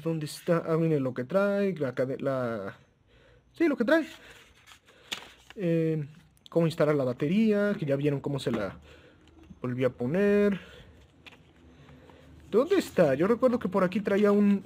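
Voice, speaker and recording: A man with a husky, somewhat high voice walks viewers through a gadget in a relaxed, chatty demo. He stumbles and restarts often, filling pauses with "eh", and breaks into small chuckles. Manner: hesitant, frequent restarts and "eh" fillers, addresses viewers as friends; chuckles often while talking. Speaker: radio gear reviewer. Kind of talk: casual product demo.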